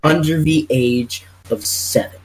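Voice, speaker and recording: A man's voice: fairly high pitch, measured pace, low-quality recording. A young man co-hosting a podcast, joking, teasing and telling stories in casual chat. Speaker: podcast co-host.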